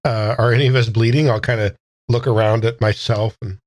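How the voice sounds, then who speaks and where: A man's voice: lowish voice, steady tone, brisk, a little mellow, no room sound. A man casually playing a tabletop roleplaying game, thinking aloud in a tentative way.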